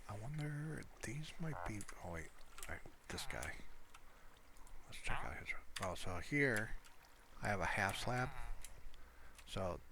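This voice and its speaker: A man's voice: lowish voice, animated, takes it slowly, creaky, pauses often, background noise. A man giving casual, unscripted commentary over his gameplay, rambling along as he thinks aloud. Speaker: gameplay video narrator.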